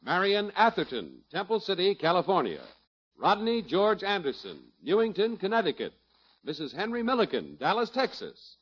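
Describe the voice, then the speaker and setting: A man's voice: sits high, huge pitch swings, normal speed, breathy edge. An American man announcing from a script in polished 1950s radio style. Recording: muffled old radio broadcast, music behind. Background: music.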